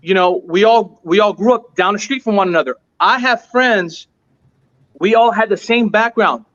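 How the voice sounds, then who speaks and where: A man's voice: sits high, normal speed, a bit bright, lo-fi sound. A man who owns a restaurant, telling his story as an interview guest with emphatic, earnest repetition. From United States. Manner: emphatic, repeats points for stress.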